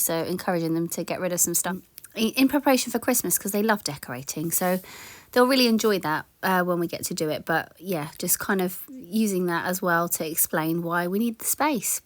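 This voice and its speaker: A woman in her thirties, slightly croaky from a sore throat, chatting casually in a voice-over about tidying her home, with lots of ums and yeahs. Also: on the low side, dry recording.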